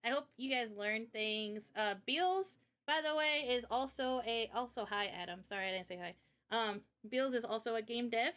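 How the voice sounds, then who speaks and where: High-voiced woman chatting on a livestream. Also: normal speed, fairly bright tone. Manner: informal, slangy, chatty. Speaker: live streamer and indie game developer. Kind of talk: casual livestream chat.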